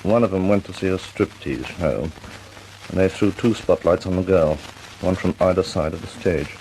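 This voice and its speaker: An actor playing an authoritative senior air force officer in an old radio drama, with a dry humor. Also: male voice, low-pitched, normal speed, a little mellow, a little hiss or hum, poor audio. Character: senior RAF air vice marshal, older man. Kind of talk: 1940s radio acting.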